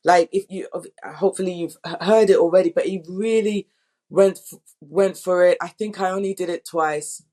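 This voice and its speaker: A woman's voice: on the low side, fairly even intonation, normal speed, warm tone. A woman speaking as a drama director, telling candid anecdotes in an informal, emphatic way and acting out quotes.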